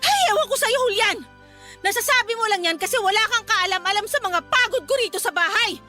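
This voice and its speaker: Shrill, exasperated scolding Filipino mother. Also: brisk, faint music behind. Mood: bitter, irritated. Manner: nagging, sharp-tongued, emphatic rhetorical questions. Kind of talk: radio drama acting.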